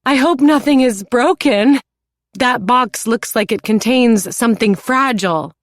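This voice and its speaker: A woman voicing an eager, fussy young mother who talks in a high, bright, insistent way. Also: very animated, a little fast, dry recording, close to an everyday voice. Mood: chirpy.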